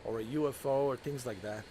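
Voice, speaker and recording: A man's voice: mid-range voice, normal speed. A man from Bosnia with a slight Bosnian accent, rambling through casual gameplay commentary and talking straight to his viewers. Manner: rambling, opinionated, talks to viewers as "guys" and "bro". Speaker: gaming video creator. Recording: loud background noise.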